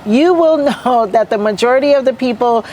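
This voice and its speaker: A woman's voice: fairly high pitch, a little fast, darkish tone, slight background noise. A woman hosting a podcast on location at a hotel, chatting casually and upbeat with her guest. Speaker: podcast host. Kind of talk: casual interview chat.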